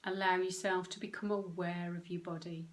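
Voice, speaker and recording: A woman leading a guided meditation, speaking gently and unhurriedly in short phrases with long pauses. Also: on the low side, flat delivery. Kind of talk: guided meditation, soothing instruction.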